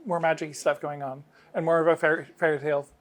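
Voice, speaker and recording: A middle-aged man with a somewhat high voice talks as a game designer being interviewed. He explains things in a casual, enthusiastic way. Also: level tone, fast talker. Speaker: game designer interviewed about his work.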